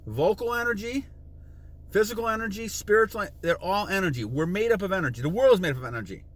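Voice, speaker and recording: A man's voice: high-pitched, fairly bright tone. A man in his sixties hosting a casual self-help talk, rambling and repeating himself, reading passages from a book and humming chants to demonstrate. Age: 60s. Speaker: channel host.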